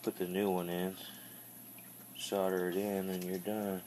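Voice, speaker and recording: A man with a low-pitched voice and very flat intonation explains a hands-on repair. He is plainspoken and matter-of-fact, pausing as he works through the steps. Some noise sits behind his voice. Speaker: hobbyist demonstrating a radio repair. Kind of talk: casual unscripted how-to narration.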